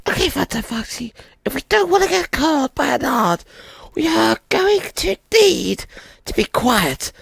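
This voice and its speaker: Woman doing an eager high-pitched animatronic bear. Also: very animated, unhurried, gravelly, no echo, full character voice. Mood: anxious, urgent. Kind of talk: amateur comic dub acting.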